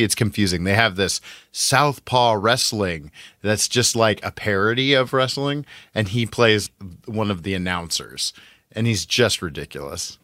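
Man, podcast co-host, bright edgy tone, casual talk, very dry sound. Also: lowish voice, no echo. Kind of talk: casual pop-culture chat.

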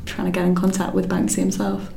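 Lower-voiced woman in an echoey room. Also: monotone, quick pace, creaky, mellow. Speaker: podcast production team member. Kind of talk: casual team meeting. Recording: echoey room, faint noise.

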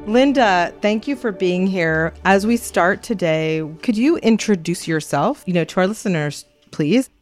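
A woman's voice: mid-range voice, animated, unhurried, few pauses, faint music behind. A woman co-hosting a podcast, introducing a guest and asking thoughtful, conversational questions. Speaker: podcast co-host. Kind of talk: casual podcast interviewing.

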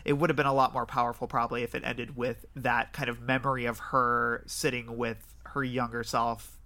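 A man's voice: medium pitch, little pitch movement, moderate pace, bright, forceful tone. A man hosting a casual film discussion podcast with an enthusiastic manner. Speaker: podcast host.

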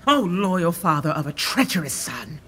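An actress playing a commanding, mercurial monarch in a Shakespearean radio drama, with theatrical, authoritative delivery. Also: female voice, lowish voice, big pitch swings, normal speed, bright, forceful tone, slight background noise. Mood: grave, indignant.

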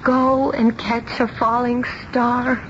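An American actress playing a fretful, stubborn young bride-to-be in a radio drama, with emotional delivery. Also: female voice, a little high, little pitch movement, slow pace, a bit bright. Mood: sad, haunted. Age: young adult. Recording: muffled, faintly hissy radio broadcast recording.

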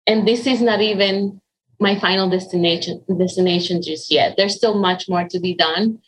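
A woman's voice: medium pitch, normal speed, poor audio. Dominican woman, 40s, spanish accent, earnest and chatty, lots of ums. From Dominican Republic. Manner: earnest, warm storytelling with frequent um and restarts. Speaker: interview guest. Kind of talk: conversational interview.

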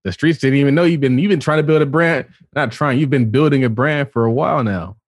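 A man's voice: mid-range voice, fast talker, no room sound, clean studio sound. A man co-hosting a podcast, bantering casually and putting questions to his co-host.

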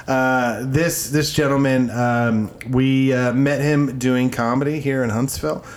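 A man's voice: medium pitch, steady tone, unhurried. A man hosting a casual podcast, joking around, swearing freely and laughing often. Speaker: podcast host.

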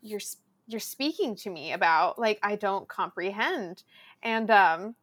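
A woman from Southern California, a podcast guest chatting casually and acting out quotes in a loud, lively way. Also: mid-range voice, unhurried. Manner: loud, animated storyteller who acts out quotes.

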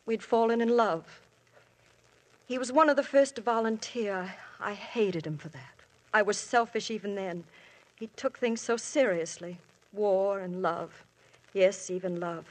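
A woman's voice: medium pitch, close to an everyday voice. A woman playing a married woman questioned by police in a 1950s radio drama, earnest and emotional, her voice recalling the past. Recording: old radio broadcast.

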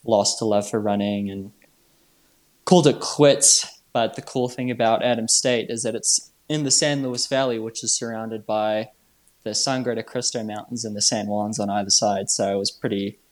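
A man in his twenties from New Zealand, chatting casually as a podcast guest in a laid-back, halting, slangy way. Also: lowish voice, fairly even intonation. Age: 20s. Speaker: podcast guest, trail runner. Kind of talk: casual chat.

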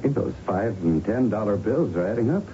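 Police lieutenant, male actor, deliberate and official, lowish register, muffled vintage radio drama sound. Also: lively intonation, dark tone, lightly acted. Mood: concerned, probing. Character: plainspoken male police lieutenant investigating a murder. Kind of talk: 1970s radio drama acting. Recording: dull, very noisy old radio recording.

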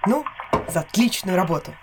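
A woman's voice: lowish voice, expressive, a little fast, noisy background, lightly acted. An actress playing a convivial Russian woman agent in an audio drama.

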